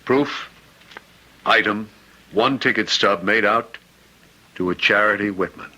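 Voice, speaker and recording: An earnest, compassionate chaplain from an old-time radio play. He speaks gravely in a low, raspy voice with a very hard, bright edge. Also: unhurried, rough recording. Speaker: radio drama actor.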